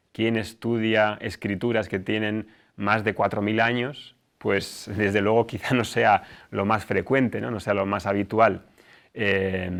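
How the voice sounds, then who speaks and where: Low-voiced man hosting a class discussion. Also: a bit bright. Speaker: host, teacher. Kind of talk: introducing a student discussion class.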